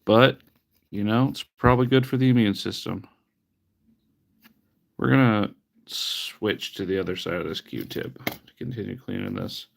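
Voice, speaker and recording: A man's voice: lowish voice, unhurried, vocal fry, pauses often. A man livestreaming as he cleans an old handheld console, chatting casually with wry, mock-disgusted asides and sounding a bit sniffly. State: sniffly from allergies. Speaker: livestreamer repairing retro handhelds. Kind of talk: casual live-stream chat while working.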